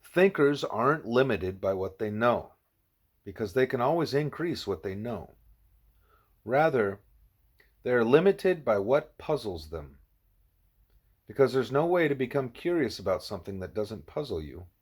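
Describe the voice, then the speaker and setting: A man voicing a grave, patient, wise animal teacher in an audiobook, speaking in a measured, formal, didactic way. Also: mid-range voice, big pitch swings. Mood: patient, explaining.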